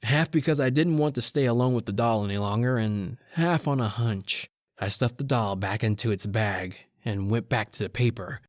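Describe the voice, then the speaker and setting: A man playing a haunted, brooding newspaper reporter who narrates his tale in old-time radio horror style, with dramatic pauses. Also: mid-range voice, no echo, clean studio sound, lightly acted.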